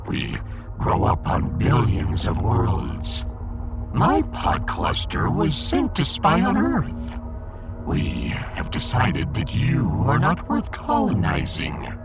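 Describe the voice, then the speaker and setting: A deep, gravelly alien fish emperor who declares himself a god. The delivery is slow and menacing, swooping grandly in pitch, with music underneath. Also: male voice, wildly swooping pitch, full character voice. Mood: grandly boastful. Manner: pompous, theatrical, slow and deliberate. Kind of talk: sci-fi audio drama acting.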